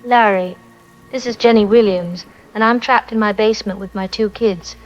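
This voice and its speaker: A woman's voice: medium pitch, steady tone, moderate pace, faint music behind, close to an everyday voice. A woman playing a frightened, trapped mother making a distress call in a radio drama. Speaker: phone-in caller. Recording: dull, band-limited phone-in sound.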